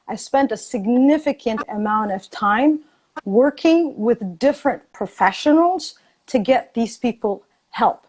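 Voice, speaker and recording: A woman's voice: a little high, animated, measured pace, darkish tone, a little hiss or hum, poor audio. A woman arguing passionately in a heated debate, insistent and repeating her points for emphasis. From born in Addis Ababa, Ethiopia. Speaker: debate guest, refugee advocate. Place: lives in New York City.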